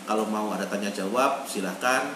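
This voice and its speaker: Echoey, distant male preacher. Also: on the low side. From Indonesia. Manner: emphatic, deliberate oratory with repeated phrases for emphasis. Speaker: Islamic preacher. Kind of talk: online sermon on history. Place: echoey room, online video meeting. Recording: echoey, noisy room, distant online-meeting audio.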